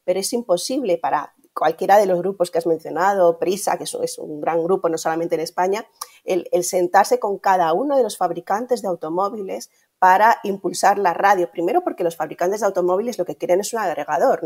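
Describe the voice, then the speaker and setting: Lower-voiced middle-aged woman, Castilian Spanish accent. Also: a little fast, darkish tone, husky. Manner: explanatory, often ends phrases with a tag question. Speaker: guest, radio industry manager. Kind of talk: conversational interview answers. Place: indoor room at home, on a video call.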